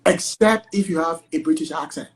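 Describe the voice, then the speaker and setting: A young man with a thick Nigerian accent, a lawyer talking as an interview guest on a video call, animated and full of fillers. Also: on the high side, normal speed, slight background noise. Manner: animated, chatty, many fillers like "like" and "you know". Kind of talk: casual interview conversation.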